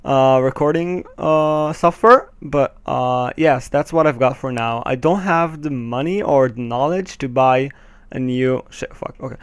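A man's voice: medium pitch, a little slow, clear, resonant tone, dry recording. A teenage boy from Israel with an Israeli accent, Hebrew his first language, hosting casual gameplay commentary through a headset, hesitant, with many ums and nervous laughs. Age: teens. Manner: hesitant, many ums, nervous laughs, swears when the game goes wrong. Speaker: gaming channel host.

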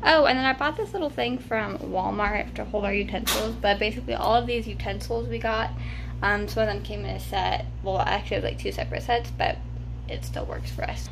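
A woman's voice: medium pitch, brisk, creaky, background noise. A woman in her twenties giving a casual tour of a small apartment, holding a phone camera and talking in a loose, chatty way with many fillers. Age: 20s. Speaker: vlogger. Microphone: handheld phone camera.

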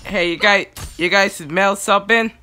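A man's voice: sits high, steady tone. A young man on voice chat who hams it up with mock-dramatic lines while playing an online game. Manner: playful, mock-dramatic, slow and drawn out. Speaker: gamer on voice chat. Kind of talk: casual gaming chat. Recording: game music behind.

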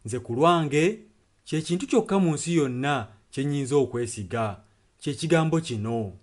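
A man's voice: on the high side, expressive, moderate pace, a little mellow. A Ugandan man interpreting a sermon earnestly, phrase by phrase. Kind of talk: consecutive sermon translation. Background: music.

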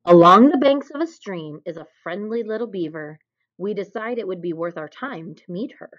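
A woman reading a children's picture book aloud in an expressive storytelling style. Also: medium pitch, normal speed. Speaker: storybook reader. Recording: dull, lo-fi recording.

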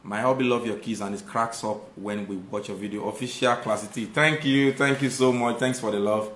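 Fields. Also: male voice, on the low side, fairly quick, a little hiss or hum. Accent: Nigerian. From Nigeria. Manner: warm thanks to viewers, lively when making a point. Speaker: live stream host. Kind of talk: live stream chat reading viewer comments. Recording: echoey room.

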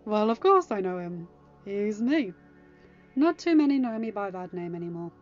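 A woman voicing a calm, kindly older male hermit mentor in a gentle, musing manner for a story reading. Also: medium pitch, normal speed, close to an everyday voice. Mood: musing, wry. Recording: dull lo-fi recording with music behind.

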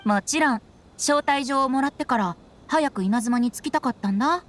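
A carefree teenage-sounding bard boy from an anime game. The voice is fairly high, with a teasing, theatrical lilt. Music plays clearly behind it. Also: moderate pace, a bit bright. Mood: breezy. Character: playful, carefree young male bard. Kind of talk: Japanese anime game voice acting.

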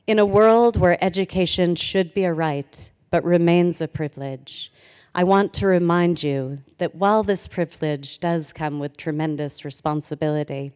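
A woman in her forties giving a formal commencement speech from a podium, earnest and measured with light humor. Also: on the low side, a little mellow, clear, resonant tone. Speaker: commencement speaker. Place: large hall, at a podium on stage. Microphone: podium microphone. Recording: reverberant auditorium sound.

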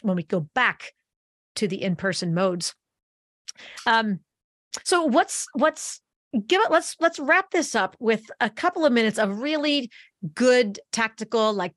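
A woman in her forties hosting a podcast interview, chatty and lively, speaking into a boom mic while wearing headphones. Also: no echo, studio quality. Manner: energetic, talks with her hands, restarts phrases. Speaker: podcast host. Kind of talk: conversational interview. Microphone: boom mic on arm, wearing headphones.

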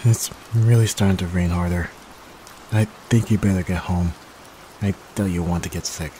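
A man playing a timid, gentle young catboy in an ASMR roleplay, speaking hesitantly with stammers. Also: low voice, normal speed, natural voice, poor audio. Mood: sad, confiding. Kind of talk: soft-spoken ASMR roleplay. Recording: steady rain noise behind voice. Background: rain.

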